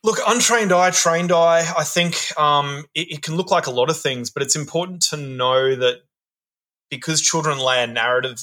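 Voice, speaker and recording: A man's voice: a little high, moderate pace, bright, forceful tone. A man working as a play worker, answering interview questions in an earnest, thoughtful way, often repeating words as he thinks.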